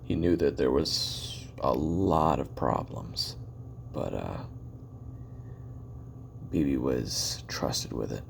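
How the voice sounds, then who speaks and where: A man acting out a weary, regretful former assassin with an extremely low voice, thick with gravel and fry. He confesses his memoirs in a conversational audio-drama monologue, halting and correcting himself as he muses. A faint noise sits behind the recording. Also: lively intonation, heavily acted. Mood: musing, guarded. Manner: halting, musing, trailing pauses and self-corrections.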